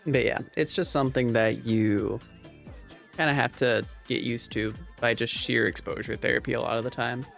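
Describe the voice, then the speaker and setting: Male streamer, gravelly fry, mid-pitched, casual rambling chat, conversational, music in the background. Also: steady tone, normal speed. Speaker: livestream host. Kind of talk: casual livestream chat, responding to viewers. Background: soft background music.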